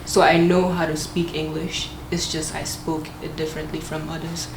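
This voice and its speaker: A woman's voice: deep voice, flat delivery, normal speed. A young woman raised in Gambia with a Gambian accent, speaking reflectively in a documentary interview. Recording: echoey room with noticeable ambient noise.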